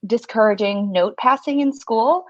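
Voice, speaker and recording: A woman's voice: a little high, moderate pace, no echo. A woman in her thirties from Singapore, a shop owner chatting as a podcast guest in a friendly, easygoing way with lots of "like" and "um". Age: 30s. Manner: chatty and friendly, frequent "like" and "um", laughing easily. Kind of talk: casual interview conversation. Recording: slightly muffled dry audio.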